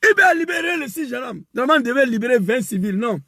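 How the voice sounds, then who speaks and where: A man delivering an impassioned political rant, emphatic and repetitive, rising to shouts. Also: very high voice, expressive, quick pace, slightly rough, no room sound, clean studio sound. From West Africa. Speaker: political commentator.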